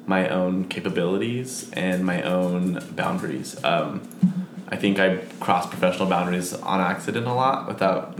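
A man in his twenties co-hosting a podcast, chatting casually. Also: low-pitched. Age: 20s. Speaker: podcast co-host. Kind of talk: casual chat. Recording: echoey room, faint background noise.

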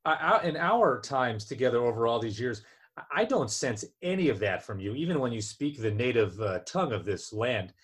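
A man's voice: mid-range voice, very animated, moderate pace, husky. A man from New Jersey chatting casually as co-host, joking and self-deprecating, often restarting his sentences. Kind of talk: casual chat.

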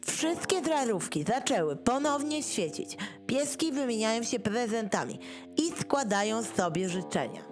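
Slow high-voiced woman narrator. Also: big pitch swings, faint music behind. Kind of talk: scripted narration of audio play.

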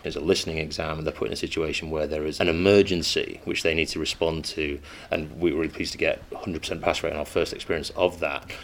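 A man's voice: extremely low pitch, level tone, quick pace, few pauses. A man who teaches modern languages at a school, talking earnestly and measuredly in an interview, with frequent ums. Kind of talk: interview monologue. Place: school in Manchester.

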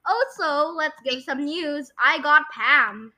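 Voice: sits high, animated, takes it slowly, sharp tone. A young-sounding female podcast host chatting casually and running a guessing game.

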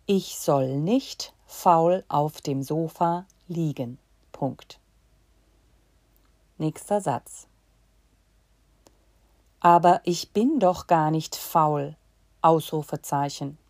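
A woman giving a slow dictation to learners, reading in short chunks and saying the punctuation aloud. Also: low voice, a little mellow, no room sound, clean studio sound.

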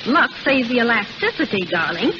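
A woman playing a brisk, sensible, chiding young roommate in a 1930s radio advertisement. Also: on the high side, big pitch swings. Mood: exasperated. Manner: bossy, exasperated. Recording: muffled noisy 1930s radio recording.

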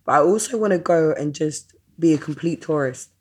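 Casual podcast host: woman, very low-pitched voice, informal and slangy in a chatty interview. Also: brisk, a little mellow, breathy edge.

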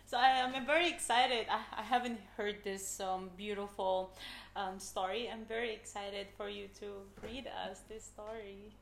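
Excited woman, kinda stumbly, echoey room with faint hum. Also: mid-range voice, moderate pace, hardly any pauses. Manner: eager, stumbling with fillers. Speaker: listener introducing the reading. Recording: roomy echo, faint ambience.

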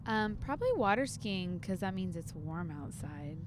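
A woman's voice: on the low side, big pitch swings, normal speed, noisy background. A cheerful woman in her thirties co-hosting a podcast, chatting casually with her husband. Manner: cheerful, laughs easily. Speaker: podcast co-host. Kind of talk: casual chat. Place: in a car.

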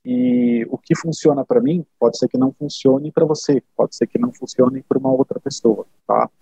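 A man's voice: medium pitch, normal speed, creaky, dark tone, slightly rough, dry recording. A Brazilian man answering interview questions in a casual, explanatory way, often tagging phrases with short checks to the listener. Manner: explanatory, many fillers like "né" and "tá". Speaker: interview guest. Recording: dull lo-fi sound.

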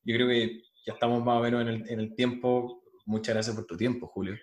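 A man's voice: medium pitch, little pitch movement, brisk, echoey room. A man with a Chilean accent hosting an interview, asking long, winding questions. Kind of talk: casual interview.

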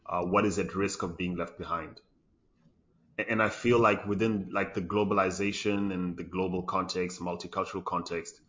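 A man's voice: low voice, a bit bright, dull audio. A man talking reflectively in a podcast interview, with many fillers and restarts. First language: English. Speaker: design lead guest.

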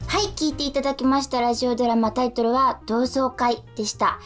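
High-voiced woman hosting radio over music. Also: fairly even intonation, quick pace. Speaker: radio show host. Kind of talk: casual radio hosting and announcements.

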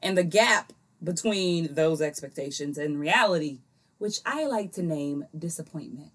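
Female podcast host, slightly lower register, colloquial and playful, introducing topics in a casual style. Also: expressive, measured pace, a bit bright. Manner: playful, colloquial, acts out quoted remarks. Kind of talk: casual chat.

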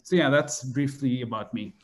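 A man's voice: mid-range voice, normal speed. A young man from Bangladesh, a neuroscience PhD student, answering interview questions with lots of fillers and "sort of". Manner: hesitant, many fillers and "sort of".

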